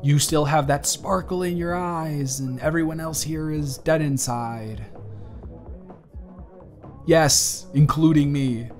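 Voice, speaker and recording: A man's voice: fairly high pitch, natural voice. Guy playing a young college dude talking to his crush, dry n teasing, kinda awkward, casual roleplay audio w music. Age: young adult. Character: wry, awkward, kind male college student chatting with crush. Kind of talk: intimate scripted roleplay audio, conversational.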